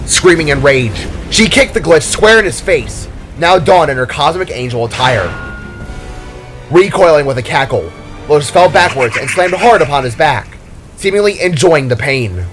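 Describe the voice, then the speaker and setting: A man's voice: a little high, animated, moderate pace, a bit bright. A man reading scripted narration for an action story in a dramatic storyteller's style. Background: music.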